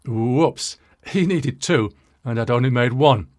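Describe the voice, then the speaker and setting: A British man in his sixties telling a story to viewers as a presenter, in a wry, self-deprecating way with dramatic pauses and asides. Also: medium pitch, a little mellow. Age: 60s. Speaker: presenter of an audio channel.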